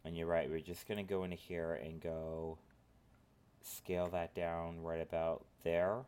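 A man with a cold, sniffling as he talks through a software modeling tutorial at his computer, rambling with lots of filler phrases. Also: low-pitched, level tone, a little slow, clear, resonant tone. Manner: rambling, filler-heavy instructions. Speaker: tutorial host. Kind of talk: screen-recorded software tutorial.

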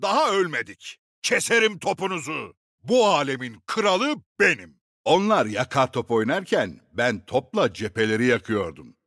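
An actor playing a gruff, middle-aged veteran commander in a video game, barking short, authoritative orders with dry swagger. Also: male voice, mid-range voice, very animated, normal speed, a bit bright, no room sound. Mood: tough, boastful. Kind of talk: video game voice lines, short military barks.

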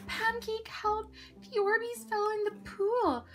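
A dramatic young girl, as a woman acts her out in a children's pretend-play tale. Her voice is very high and whiny, and she repeats herself in gasping wails. Music plays softly behind her. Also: little pitch movement, slow. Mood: anxious.

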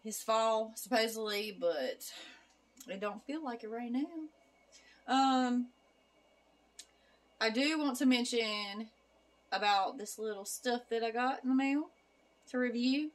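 A woman's voice: a little high, slow, pauses often, no room sound. A woman, chatting casually to viewers at home in a vlog and product review. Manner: chatty, folksy, addresses viewers as y'all. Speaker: vlogger. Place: home kitchen and living room.

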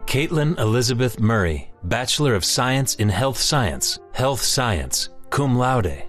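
Guy reading grad names and degrees, steady formal announcer, quiet music underneath. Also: medium pitch, slow pace. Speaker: name reader.

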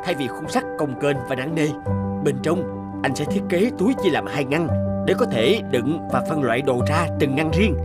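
A man voicing an earnest, devoted mountain climber in a cartoon, speaking emotively. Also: on the high side. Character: earnest adult male mountain climber, guilt-ridden and devoted friend. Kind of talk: dubbed cartoon acting. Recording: loud music over the voice.

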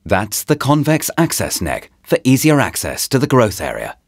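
A man reading a scripted promotional voice-over in short, deliberate, presentational phrases, as a product narrator. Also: mid-range voice, wildly swooping pitch, slightly rough, no room sound, studio quality. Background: background music.

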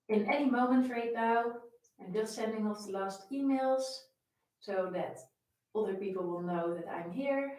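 A woman's voice: on the high side, darkish tone, very echoey, distant mic, poor audio. A young woman with a Dutch accent, a violin teacher chatting and greeting viewers by name before a live-stream lesson from her home. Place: home room with framed pictures, indoors.

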